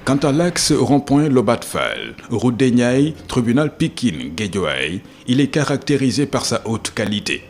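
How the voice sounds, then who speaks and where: Male narrator, scripted documentary read, highly varied intonation, medium pitch. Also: big pitch swings, unhurried, few pauses, slight background noise.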